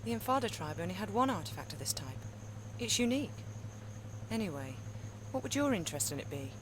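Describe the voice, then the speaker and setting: A woman's voice: mid-range voice, very animated, fast talker, background noise, close to an everyday voice. A woman playing a self-assured female adventurer archaeologist with an English accent, dry, composed and direct, in a game cutscene. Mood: dry, sceptical. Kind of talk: video game cutscene acting. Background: music.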